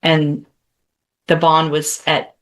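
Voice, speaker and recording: A woman's voice: sits low, monotone, slow pace. A woman speaking as a judge, running courtroom proceedings from the bench.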